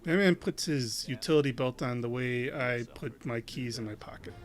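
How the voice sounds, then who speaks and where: A man's voice: mid-range voice, normal speed, soft background music. A man hosting a casual reaction video, rambling with lots of fillers and restarts.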